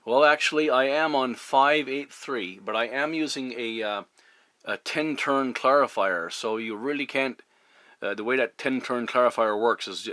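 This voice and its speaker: Bright-voiced, courteous middle-aged man on radio. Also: mid-range voice, no echo. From Newfoundland, Canada. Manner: courteous, hesitant, halting phrasing. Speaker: CB radio operator. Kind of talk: casual radio contact chat. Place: at a CB radio station. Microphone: radio microphone.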